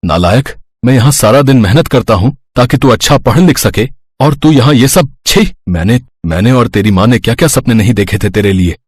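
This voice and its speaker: A man's voice: medium pitch, animated, a little fast, a little mellow, slightly rough. A man voicing a stern, scolding father. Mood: angry scolding. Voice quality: scolding, pleading. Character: stern worried father, middle-aged man. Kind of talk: Hindi storybook voice acting.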